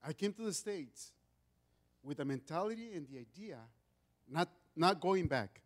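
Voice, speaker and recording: A middle-aged man with a Mexican accent, Spanish his first language, testifying in church. His voice sits high for a man and carries loudly. He speaks earnestly and often restarts his sentences. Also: lively intonation, measured pace. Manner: loud voice, earnest with many restarts. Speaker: guest speaker giving testimony.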